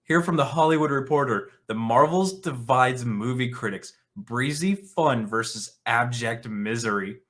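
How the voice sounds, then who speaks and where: A man's voice: medium pitch, measured pace, hardly any pauses, a bit bright. A man hosting an online commentary show, reading articles aloud and reacting in a blunt, scornful, sarcastic and profane way.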